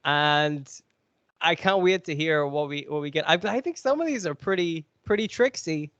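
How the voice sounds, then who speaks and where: A man's voice: fairly high pitch, expressive, normal speed, fairly bright tone, dry recording, muffled recording. A man with a cold, sniffly, chatting and joking as he hosts a podcast quiz. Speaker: podcast co-host. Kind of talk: casual podcast chat.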